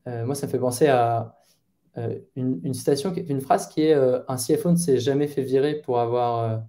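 A man's voice: medium pitch, steady tone, brisk, warm tone. A man in his thirties, a guest product manager, answering interview questions from his bedroom over a headset on a video call, thoughtful and hesitant with many fillers and restarts. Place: bedroom at home.

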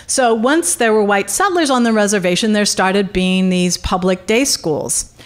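A middle-aged woman giving a public history lecture at a podium microphone in a conference room, animated, with many fillers and restarts. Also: mid-range voice, measured pace. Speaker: guest lecturer. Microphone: podium microphone on stand.

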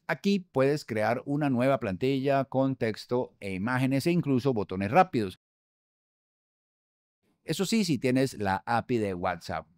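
Upbeat man hosting a software tutorial. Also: mid-range voice, expressive, unhurried, no room sound, clean studio sound. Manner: upbeat, conversational, with filler hesitations. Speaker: host. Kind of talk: tutorial explaining restaurant software. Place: studio room. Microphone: studio mic on boom arm.

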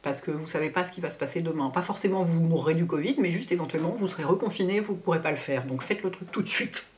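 A woman's voice: low-pitched, fast talker, darkish tone, slight background noise. A middle-aged woman chatting casually to camera in a Q&A, blunt and opinionated in colloquial speech. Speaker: beauty vlogger. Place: living room at home, indoors.

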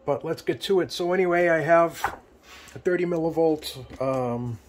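A man giving a casual hands-on tutorial as a repair technician, plain-spoken and often pausing mid-sentence. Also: on the high side. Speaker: CB radio repair technician presenting. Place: indoor electronics workbench. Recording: clear background noise, home workbench room.

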